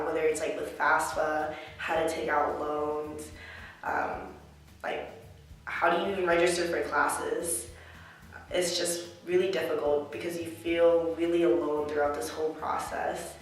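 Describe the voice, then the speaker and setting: A young woman from the United States answering interview questions about her life as a college student, reflective and earnest, with plenty of filler words. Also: deep voice. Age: young adult. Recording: echoey room, mic at a distance.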